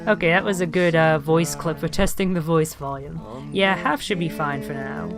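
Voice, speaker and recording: A let's player giving casual video game commentary, musing out loud and reading game text aloud. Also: mid-range voice, fairly even intonation, moderate pace. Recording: game music behind the voice.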